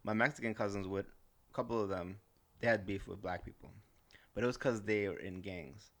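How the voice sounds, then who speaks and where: Low-voiced, dryly teasing American man. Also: fairly even intonation, normal speed. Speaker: podcast co-host. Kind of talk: casual banter.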